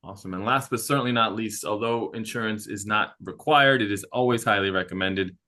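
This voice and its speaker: A man moderating a travel webinar, briskly prompting the others with questions. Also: on the low side, pushed and bright.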